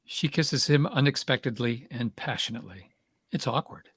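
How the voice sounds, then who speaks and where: A man's voice: medium pitch, moderate pace, fairly bright tone, slightly rough, no room sound, clean studio sound. A man reading stage directions as scripted narration for a play.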